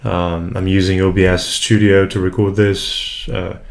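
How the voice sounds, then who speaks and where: Man, young, from Brazil, Portuguese first language, very low voice, halting and thoughtful, home desk mic. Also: flat delivery, vocal fry. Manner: hesitant, many fillers and restarts, reflective. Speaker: English tutor and vlogger. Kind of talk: casual first vlog introduction. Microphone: USB desk mic, unprocessed.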